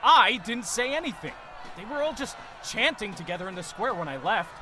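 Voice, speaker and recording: A man's voice: high voice, very animated, moderate pace, bright, forceful tone, pitched above the performer's own voice, heavily acted, rough recording. A man voicing a frantic, urgent bringer of bad news in an audiobook. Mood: defensive, shouting. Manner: urgent, agitated. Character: frantic man in a suit bringing dire warnings. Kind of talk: acted audiobook dialogue.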